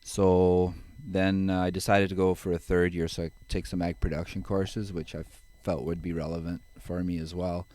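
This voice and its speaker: Middle-aged dutch-born guy, real low voice, dry close sound, easygoing storyteller. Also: flat delivery, normal speed, few pauses, mellow, dry recording. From Netherlands. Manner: easygoing, chuckles while telling stories. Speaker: guest, genetics advisor. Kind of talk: relaxed interview storytelling.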